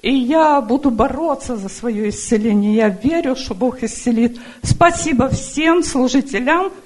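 Middle-aged woman, quite high voice, telling her church story earnestly, lots of pauses and fillers. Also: a little mellow. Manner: earnest, halting, with many fillers. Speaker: church member giving testimony. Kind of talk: spoken personal testimony.

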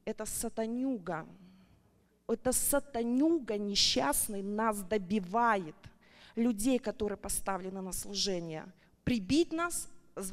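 A woman in her thirties giving an emotional, heartfelt church testimony at a retreat meeting, her voice climbing high when she gets excited. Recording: long reverb of a large hall.

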